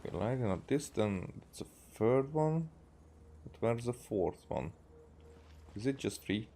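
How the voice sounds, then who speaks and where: A man from Hungary with a Hungarian accent, musing aloud haltingly as he gives casual gameplay commentary. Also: medium pitch, animated, warm tone, a little hiss or hum. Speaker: game streamer.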